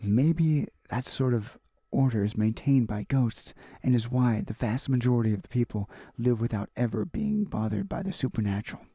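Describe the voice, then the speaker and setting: A man with a mellow, creaky voice reads a visual novel aloud as a gaming host. His delivery is halting, with stumbles and repeated phrases. The sound is close and dry. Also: mid-range voice, animated, no room sound. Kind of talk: reading a visual novel aloud for a let's play.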